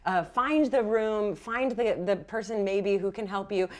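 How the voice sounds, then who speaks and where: A woman's voice: medium pitch, unhurried, darkish tone. A woman game master narrating and explaining rules in an improvised, playful and encouraging way.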